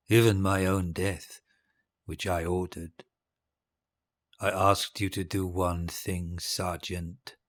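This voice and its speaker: An actor playing a cruel, vain old dictator in an audio drama, speaking in a grandiose, rambling way with a husky voice. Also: male voice, low voice. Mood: weary, reminiscing. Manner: grandiose, rambling, imperious, halting. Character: cruel, vain old dictator giving his dying speech.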